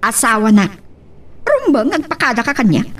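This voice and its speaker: A woman's voice: fairly high pitch, sing-song, moderate pace, fairly bright tone. A woman acting a jealous, nagging middle-aged rich wife in a Filipino radio drama, haughty and reproachful, with a Filipino accent.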